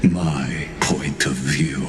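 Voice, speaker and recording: An actor playing a grandiose, sardonic villain who speaks in a deliberate, theatrical and condescending way in a game. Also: male voice, a bass voice, fairly even intonation, exaggerated voice. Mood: grandly musing. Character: grandiose, sardonic male villain narrating a tragic past. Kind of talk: dramatic game villain monologue. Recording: echoing voice under loud music.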